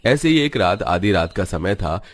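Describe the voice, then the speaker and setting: A man's voice: sits low, animated, moderate pace, slight background noise. A man reading scripted narration for a cartoon story.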